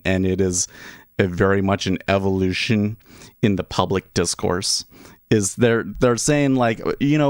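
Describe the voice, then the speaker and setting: A man's voice: fairly low pitch, smooth, dry recording, studio quality. A man in his thirties hosting a day-trading livestream, chatting casually and rambling through restarts and asides. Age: 30s. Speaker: livestream host, day trader.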